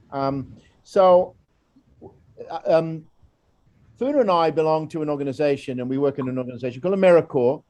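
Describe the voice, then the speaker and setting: Australian man, program director; rambling conversational presenter, slightly high and mellow. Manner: chatty, rambling, warm encouragement of his guest. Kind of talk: informal recorded presentation.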